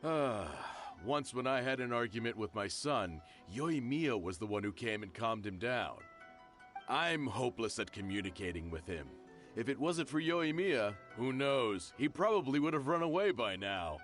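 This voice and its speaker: A genial, very animated shopkeeper dad. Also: male voice, mid-range voice, moderate pace. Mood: earnest, friendly. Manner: friendly, familiar, insistent. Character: genial, self-deprecating middle-aged father and shopkeeper. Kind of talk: video game voice acting. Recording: music under the voice.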